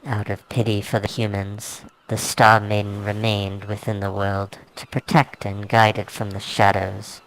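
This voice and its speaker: A man reading his own fantasy novel aloud as a dramatic, solemn, measured narration. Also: lowish voice, level tone, a bit bright, slight background noise, poor audio. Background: music soundtrack.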